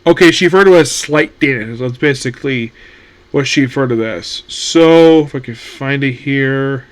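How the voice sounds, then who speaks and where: A man's voice: mid-range voice, moderate pace. A man hosting a casual solo anime news talk, rambling with many fillers and restarts.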